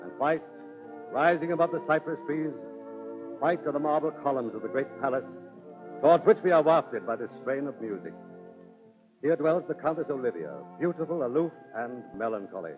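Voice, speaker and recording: A man's voice: fairly high pitch, expressive, normal speed. A man narrating in theatrical, declamatory 1930s radio storytelling style. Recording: tinny 1930s radio, music behind.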